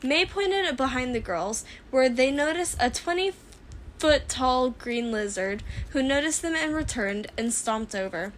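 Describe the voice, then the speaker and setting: A woman reading fan fiction aloud as an expressive story narrator. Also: high voice, a little slow. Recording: clear background noise.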